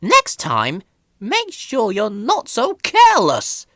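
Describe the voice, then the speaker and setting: A woman's voice: on the high side, wildly swooping pitch, takes it slowly, a bit bright, no echo, studio quality, voice pushed up, exaggerated voice. A woman voicing a rude, proud old female steam lorry in a children's storybook, brusque and scolding. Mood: haughty, scolding.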